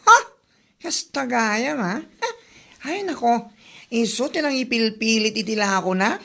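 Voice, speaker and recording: A brash, gossipy woman friend in a comedy radio drama. Her fairly high voice has a gravelly creak, and she exclaims with indignant reproach. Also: expressive, measured pace, fairly bright tone, heavily acted.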